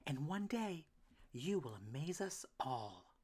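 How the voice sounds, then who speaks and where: A woman voicing a gentle, loving duck mother with a soft, soothing manner in a storybook. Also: low-pitched, sing-song, slow pace, slightly rough, voice pushed up, heavily acted. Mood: tender.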